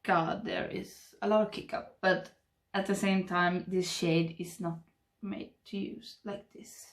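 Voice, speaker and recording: A woman in her thirties with a Swedish accent, chatting and murmuring softly to the camera while doing her makeup at home. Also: lowish voice, little pitch movement, measured pace. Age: 30s. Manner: soft, rambling, murmuring while applying makeup. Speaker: beauty vlogger. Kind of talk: casual get-ready-with-me chat. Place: home makeup room, indoors. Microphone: webcam framing at desk.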